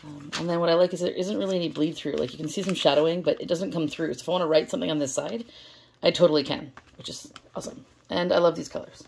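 A young woman, hoarse from losing her voice, chatting excitedly with lots of fillers while unboxing things at a table. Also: deep voice, fast, slight background noise. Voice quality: gravelly, strained. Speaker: stationery vlogger. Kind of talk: casual unboxing vlog. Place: indoors at a table, filming overhead. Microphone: camera held close over the table.

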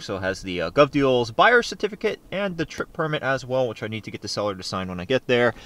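A man's voice: medium pitch, fairly bright tone. A young man vlogging casually, explaining as he shows things on camera in a parking lot and inside a car. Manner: chatty, explaining as he goes. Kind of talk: casual vlog narration.

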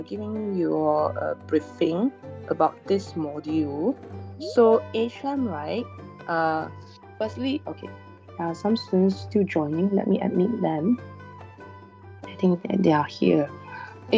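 Woman, 30s, lecturer, slightly hoarse, online class, webcam mic, dull lo-fi sound, faint music behind. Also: on the low side, a little mellow. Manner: chatty, many fillers and "all right" checks. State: slightly hoarse after a sore throat. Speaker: university lecturer. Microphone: video call webcam.

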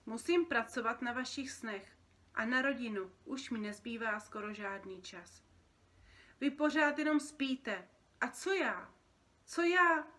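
A woman's voice: a little high, pushed and bright. A woman voicing a nervous, overworked feathered dream-being in a storybook, agitated and plaintive. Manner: agitated, complaining, stammering when shocked. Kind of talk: storybook character reading.

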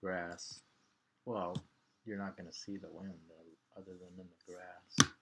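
A man in his sixties with an American Midland accent, chatting casually and reading poetry aloud in a halting, musing way. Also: low voice, flat delivery, fairly bright tone. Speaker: poetry reader and host. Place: small home room by a window, indoors. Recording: clearly noisy home webcam recording with clicks.

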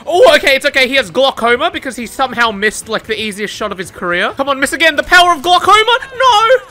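A young man commentating his own gameplay, excitable and swearing, shouting at the game and laughing, a bit worn out. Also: very high-pitched, fast. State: tired, says his voice is going. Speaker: gaming YouTuber.

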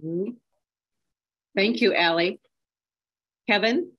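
A woman's voice: medium pitch, slow, highs cut off. A woman moderating a panel on a video call, prompting speakers with encouraging questions.

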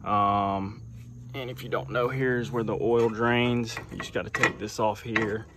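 A man's voice: lowish voice, a little slow, heavy background noise. Young man explaining mower oil change outdoors. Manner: relaxed, step-by-step explaining while working. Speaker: landscaper. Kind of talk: casual how-to narration. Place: outdoors in a yard.

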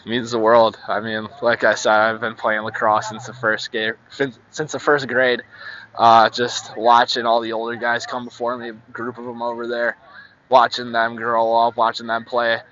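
A young man, a high school lacrosse player, giving an easygoing, grateful post-game interview outdoors on a field at night, holding a handheld mic. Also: monotone, normal speed, fairly bright tone, muffled recording. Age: young adult. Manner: easygoing, grateful, laughs often.

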